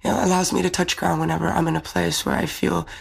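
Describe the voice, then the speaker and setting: A young woman from the Czech Republic answers interview questions in thoughtful, earnest English. Her voice sits a little low and carries heavy vocal fry with a husky edge. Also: steady tone, fast talker. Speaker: beauty pageant winner.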